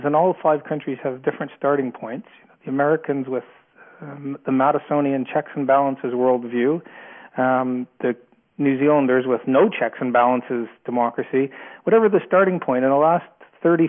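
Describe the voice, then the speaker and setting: A man with a Canadian accent explaining his views as a guest author in an interview, often repeating words as he goes. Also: medium pitch. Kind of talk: spoken interview answers, explanatory. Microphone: telephone. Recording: narrow, tinny phone line.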